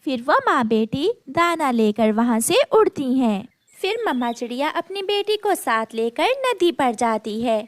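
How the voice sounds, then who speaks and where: A woman reads a children's cartoon story as narrator. Her voice is high with lively pitch movement, and she takes her time. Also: unhurried.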